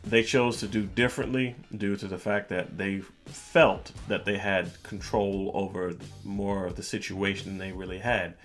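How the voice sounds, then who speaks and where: A man hosting a podcast, telling a personal story in a casual, measured way with music playing behind the voice. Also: deep voice. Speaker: podcast host. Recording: music bed under the voice. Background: background music.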